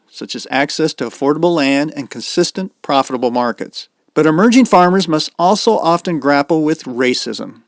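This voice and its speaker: A man's voice: a little high, no echo, studio quality. A man with an American accent reading scripted narration as a podcast host.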